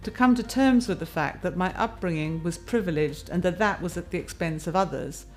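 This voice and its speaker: A woman who is a partner at a firm, speaking earnestly and in a measured way in a corporate testimonial. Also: lowish voice. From England.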